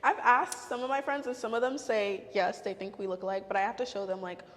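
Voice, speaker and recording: A young woman in her twenties hosts her own vlog and chats casually. She sounds nervous and giggly and rambles a little. Her voice sits at a middle pitch, and the room behind her rings with a long reverb. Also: fairly even intonation, a little fast. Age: 20s. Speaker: vlogger host.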